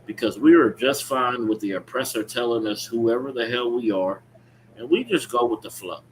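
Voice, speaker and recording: A man's voice: mid-range voice, expressive, moderate pace. A man preaching and explaining scripture emphatically, repeating phrases and checking in with his listeners. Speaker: preacher leading a scripture teaching. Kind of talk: street-preaching style sermon with call-and-response reading. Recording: clearly noisy recording with ambient background sound.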